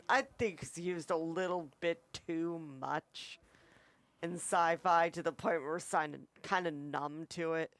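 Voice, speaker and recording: A man chatting casually as a co-reviewer, offering opinions and bits of trivia. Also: sits high. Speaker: co-reviewer on a talk show.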